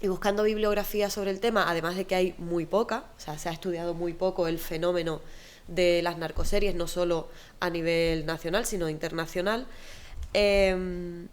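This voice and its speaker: A woman's voice: lowish voice, fairly even intonation, normal speed, clean tone. A woman from moderating a panel discussion, speaking hesitantly with many fillers.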